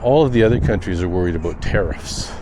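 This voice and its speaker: A man talking off the cuff in a personal vlog, reflective and rambling with thoughtful pauses. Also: deep voice, expressive. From Canada. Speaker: daily vlogger. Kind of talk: unscripted personal vlog monologue. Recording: noisy outdoor recording with vehicle sounds.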